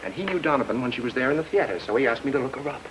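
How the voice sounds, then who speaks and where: A man plays a breezy, wisecracking private detective in an old radio drama. He talks quickly and glibly, with a lively swing in his pitch. The vintage recording is clearly noisy. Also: natural voice. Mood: flippant. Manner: glib, flippant.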